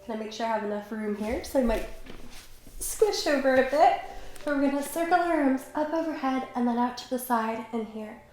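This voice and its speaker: A young woman with a slight cold, calmly and encouragingly guiding a Pilates workout while she does the moves herself. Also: high-pitched, fairly quick. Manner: calm, encouraging, talks while exercising. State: has a cold, slightly stuffy. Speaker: pilates instructor. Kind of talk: guided workout instruction. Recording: very echoey room, faint background noise.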